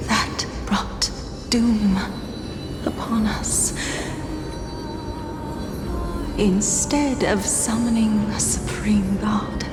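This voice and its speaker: A woman playing a sorrowful, dying survivor of a doomed city in a video game, speaking in solemn, archaic, formal phrases. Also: on the high side. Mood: grave, mournful. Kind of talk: dramatic video game acting. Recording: echoing hall reverb under loud music.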